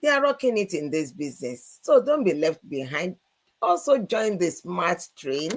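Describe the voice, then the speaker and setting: A woman's voice: lowish voice, big pitch swings, normal speed. A woman, co-hosting a live business chat and introducing panelists in an enthusiastic, promotional way. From Nigeria. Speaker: co-host.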